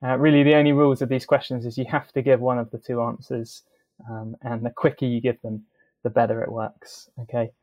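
A man hosting a podcast interview over a video call, relaxed and a little hesitant, with fillers. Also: mid-range voice, brisk, dull audio. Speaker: podcast host. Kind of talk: friendly interview.

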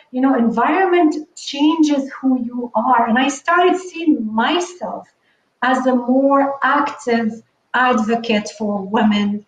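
A woman from Lebanon speaks with a high voice, earnest and reflective as she talks through her life. She sounds set back from the mic in a roomy space, and the sound is a little dull. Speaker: guest, online business coach. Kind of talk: conversational podcast interview. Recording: echoey, distant, dull sound.

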